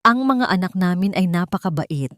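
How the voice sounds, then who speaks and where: Scheming society mother read by a woman narrator, low voice, pleading with staged sorrow, audio melodrama. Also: lively intonation, normal speed, fairly bright tone, dry recording, clean studio sound. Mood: tearful longing. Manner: pleading, tearful show of sorrow. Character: scheming wealthy mother playing tearful and grieving.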